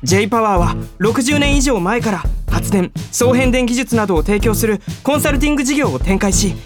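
A woman's voice: fairly high pitch, expressive, a little fast, music in the background, lightly acted. A woman acting in a radio drama as an earnest young male junior coworker, polite and boyish, with a teasing side. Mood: earnest explaining.

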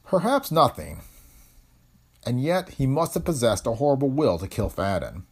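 A man voicing a stern older male judge who speaks in a formal, measured way. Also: medium pitch, sing-song, husky, voice pushed up.

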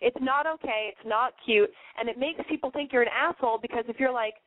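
Young woman radio host, nasal with a cold, valley-girl talk, bright higher voice, tinny phone line. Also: fairly quick, no echo. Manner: valley-girl speech, blunt and profane. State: has a cold. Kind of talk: casual call-in radio chat.

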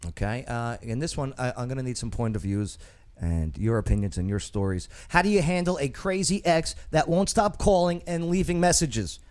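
A man's voice: mid-range voice, expressive, normal speed, few pauses, darkish tone, no room sound. A man hosting a local radio talk show, brash and teasing, reading his own ads with a salesy push. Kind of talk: casual radio talk and host-read ad.

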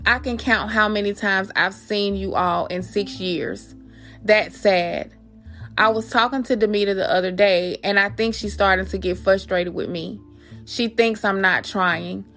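A woman's voice: mid-range voice, measured pace, fairly bright tone, faint music behind. A woman hosting a podcast, talking personally and familiarly to her listeners and reading aloud. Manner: addresses listeners familiarly as guys. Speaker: podcast host.

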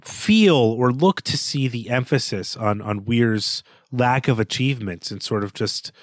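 A man's voice: medium pitch, a little slow, no room sound. Thoughtful man discussing a novel. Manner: thoughtful, hedging, frequent restarts. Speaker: podcast co-host. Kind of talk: casual book discussion.

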